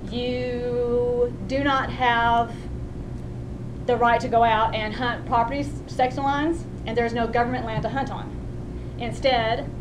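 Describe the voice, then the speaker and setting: A woman's voice: on the high side, noisy background. An older woman with a Texan accent giving a homey, chatty personal narrative speech for a class, with small restarts. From West Texas.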